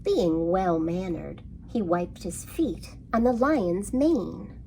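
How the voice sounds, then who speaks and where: A woman leads a children's storytime at a very unhurried pace. Her voice has noticeable fry, and her delivery is sing-song and full of questions for little listeners. There is faint noise in the background. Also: fairly low pitch, lively intonation, warm tone. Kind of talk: interactive storytime for young children.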